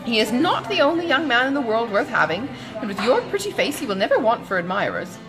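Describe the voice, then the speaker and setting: A woman voicing a kindly, gossipy, indignant older gentlewoman in an audiobook of a classic novel, chattering with dramatic exclamations. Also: on the high side, very animated, fast talker, over music. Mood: indignant, consoling.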